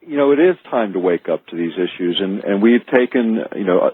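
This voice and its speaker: An American man speaking as an author guest in a radio interview, explaining earnestly and haltingly, repeating words as he goes. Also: fairly low pitch, animated, breathy edge, dry recording. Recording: narrow phone-like archive radio audio.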